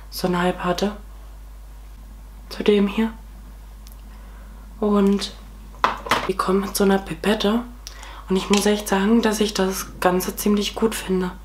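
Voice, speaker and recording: A young woman in her twenties with a cold, hoarse, chatting casually through a makeup tutorial at home with many fillers. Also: medium pitch. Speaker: beauty vlogger. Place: small room at home, indoors.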